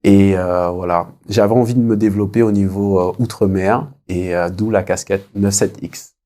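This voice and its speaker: Low, mellow-voiced man in his thirties. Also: steady tone. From French Guiana. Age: 30s. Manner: hesitant, reflective, pausing often. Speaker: interview guest. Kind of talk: conversational interview answers.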